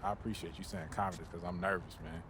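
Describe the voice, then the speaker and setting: Deep-voiced young American basketball prospect. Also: male voice, steady tone, quick pace, a bit bright, a little hiss or hum. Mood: friendly, nervous. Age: young adult. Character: confident, easygoing young male basketball draft prospect. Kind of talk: naturalistic video game cutscene acting.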